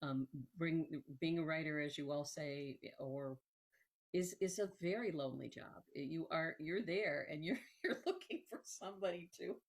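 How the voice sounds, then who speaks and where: A woman hosting a casual interview, effusive and halting, often repeating her phrases. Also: deep voice. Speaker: interviewer, host.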